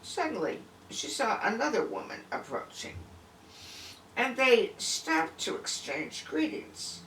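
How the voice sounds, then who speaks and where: A man's voice: very high-pitched, huge pitch swings, slow pace, creaky, sharp tone. A man reading a folk tale aloud expressively, with dramatic, emphatic phrasing. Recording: distant, echoey room with faint noise.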